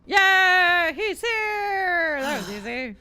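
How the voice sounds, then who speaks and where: A woman's voice: very high voice, sing-song, drawn-out words, sharp tone. A woman live-streaming a game, chatting casually with viewers in a giggly, reactive manner. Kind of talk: casual live gameplay chat. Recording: game music behind the voice. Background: video game music.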